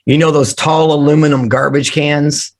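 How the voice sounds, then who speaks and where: A man who is losing his voice, hoarse, telling animated, joking stories about his drumming start as an interview guest on a remote call. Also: fairly high pitch, moderate pace. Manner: animated storyteller, jokey. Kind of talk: casual storytelling interview.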